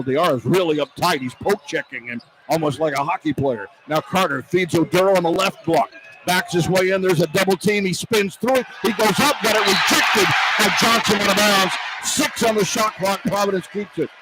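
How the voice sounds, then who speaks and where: A man's voice: high voice, moderate pace. A man doing live radio basketball play-by-play in clipped running phrases that build with the action. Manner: clipped running phrases, voice rising to shouts on big plays. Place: courtside in a college basketball arena. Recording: live arena broadcast with background noise. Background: arena ambience, faint noise.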